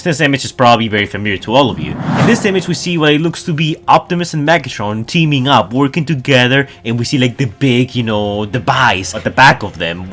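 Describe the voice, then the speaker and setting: Man with very animated, swooping delivery. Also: mid-range voice. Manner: informal, chatty, addresses viewers directly. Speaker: video host. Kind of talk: casual gaming news commentary.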